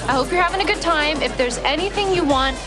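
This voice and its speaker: A woman's voice: sits high, quick pace, over a noisy crowd, lightly acted. A woman playing an exasperated, outspoken party hostess in a broad, sarcastic sitcom style. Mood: cheerful. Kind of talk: broad sitcom acting before an audience. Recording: loud music and audience behind dialogue.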